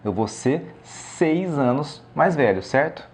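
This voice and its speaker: A man's voice: fairly high pitch, lively intonation, slow pace, breathy edge. A man teaching math, explaining a problem step by step and often checking in with the listener. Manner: frequent "tá?" and "ok?" check-ins, step by step.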